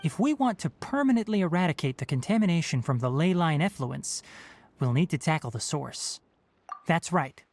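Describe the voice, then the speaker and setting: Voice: medium pitch, expressive, moderate pace, darkish tone, a little hiss or hum, lightly acted. An actor voicing a composed, clinical young scholar in a video game, speaking in a precise, formal, lecturing way. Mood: focused, analytical. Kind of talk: scripted video game dialogue.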